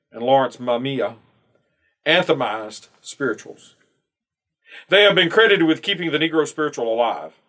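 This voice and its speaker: A man reading a scripted church devotional aloud in a measured, formal way, pausing between phrases. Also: a little high, fairly bright tone. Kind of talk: scripted narration, read aloud.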